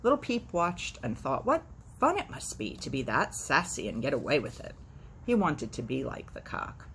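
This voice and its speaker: A low-voiced woman chats and reads a storybook aloud in a very lively, swooping way. She drops in dry, irreverent asides as she goes. Faint to moderate noise sits behind her. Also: moderate pace. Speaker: host reading a children's book aloud. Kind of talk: casual vlog chat and animated storybook read-aloud.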